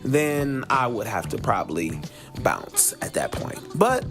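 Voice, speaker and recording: A man's voice: mid-range voice, wildly swooping pitch, measured pace. A man hosting a podcast, giving conversational advice in an emphatic way and repeating phrases for effect. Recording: music playing under the voice. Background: music.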